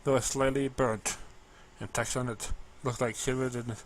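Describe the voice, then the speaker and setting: A man narrating amateur fan fiction in a halting read, now and then stumbling over words. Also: medium pitch, little pitch movement, a little hiss or hum, poor audio. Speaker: story narrator.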